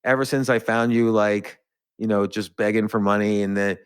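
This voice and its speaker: A middle-aged man hosting a podcast, musing in a halting, reflective way. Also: fairly low pitch, steady tone, smooth. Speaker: podcast host. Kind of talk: casual podcast chat.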